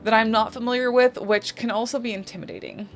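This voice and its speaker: A woman's voice: a little high, steady tone, fast, soft background music. A young woman from the Netherlands with a Dutch accent, chatting casually over a game build and rambling with lots of fillers. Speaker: Sims builder and YouTuber.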